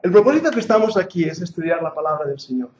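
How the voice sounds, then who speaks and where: A man's voice: on the high side, big pitch swings, quick pace, a little mellow, slight background noise. A man in his forties from Catalonia with a Catalan accent mixed with English, giving an earnest, jokey Bible class that rises to emphatic preaching. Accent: Catalan Spanish mixed with English. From Catalonia, Spain. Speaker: Bible teacher. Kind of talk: classroom lecture, sermon-like. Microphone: handheld or lapel mic. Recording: muffled echoey hall recording.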